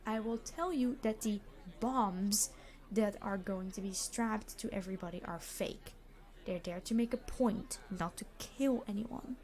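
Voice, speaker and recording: A woman's voice: medium pitch, a little slow, a little mellow, lightly acted. A woman playing a reluctantly decent supervillain in an audio roleplay, speaking in a dry, formal, bossy, matter-of-fact way. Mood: calm, businesslike. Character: famous immortal supervillain, exasperated, reluctantly decent. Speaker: robbery leader.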